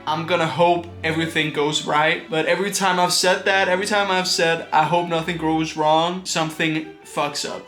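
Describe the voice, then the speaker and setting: A streamer narrates a casual vlog in a mid-pitched voice. The delivery is candid and self-deprecating, with some swearing, and music plays behind it. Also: fairly bright tone. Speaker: streamer and puppet show maker.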